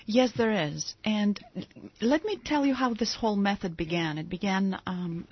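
A woman's voice: medium pitch, moderate pace, breathy edge. A woman from Greece, a therapist and author, telling stories as a radio studio guest with many hesitations and restarts. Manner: storytelling, hesitant with fillers and restarts. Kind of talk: radio interview. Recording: dull lo-fi radio studio audio.

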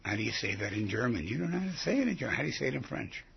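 A man's voice: medium pitch, very animated, racing, gravelly, a little hiss or hum. A man hosting a conversational radio interview, probing his subject with repeated and rephrased questions. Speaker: radio show host. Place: radio studio. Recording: dull, muffled lo-fi radio audio.

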